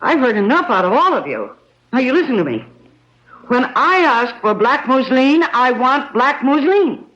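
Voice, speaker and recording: An actress playing an anguished, imperious fashion designer in a radio mystery, emotional and dramatic. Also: female voice, sits high, very animated, normal speed, a bit bright. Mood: furious, imperious. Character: anguished, high-strung female fashion designer, imperious when angry. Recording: dull lo-fi radio, faint noise.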